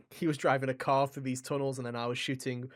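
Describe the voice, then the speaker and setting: A man's voice: medium pitch, little pitch movement, brisk. A man co-hosting a gaming podcast, chatting casually and enthusiastically in a joking, sweary way. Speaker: podcast host and game reviewer.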